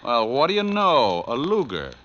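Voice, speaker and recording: A man's voice: mid-range voice, wildly swooping pitch, moderate pace, sharp tone. A man playing a wisecracking, sardonic New York private detective in a 1940s radio drama, hardboiled and flippant. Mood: cocky, threatening. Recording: muffled old radio broadcast recording.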